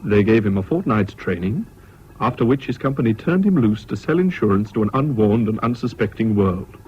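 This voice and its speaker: An actor narrating a British radio drama in the first person as a reflective, dryly ironic Englishman with a measured manner. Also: lowish voice, lively intonation, natural voice. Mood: wry, reflective. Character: wry, reflective male friend narrating the story. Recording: dull, hissy old radio recording.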